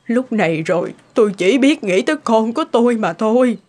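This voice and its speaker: A woman's voice: on the high side, lively intonation, a little fast, a little mellow. A woman voicing an earnest, emotional elderly woman in a cartoon family drama. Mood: distressed. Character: earnest, outspoken elderly neighbour and gentle old mother. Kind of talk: animated drama voice acting.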